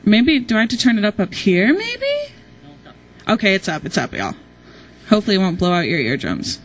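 A woman's voice: medium pitch, huge pitch swings, normal speed. A young American woman speaking informally and chattily to an auditorium as she improvises a casual introduction. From Nashville, Tennessee, United States. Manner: chatty, informal, peppered with y'all. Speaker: student presenter introducing a group.

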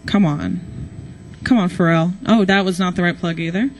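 A woman's voice: lowish voice, moderate pace, soft background music. A young American woman speaking informally and chattily to an auditorium as she improvises a casual introduction. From Nashville, Tennessee, United States. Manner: chatty, informal, peppered with y'all. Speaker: student presenter introducing a group.

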